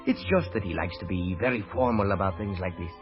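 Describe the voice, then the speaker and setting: An actor playing a polite, gentle young Spanish aristocrat in vintage radio drama, formal and a little halting. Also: male voice, low-pitched, close to an everyday voice. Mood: tender, reassuring. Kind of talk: 1930s-40s radio drama acting. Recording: muffled old radio, music underneath.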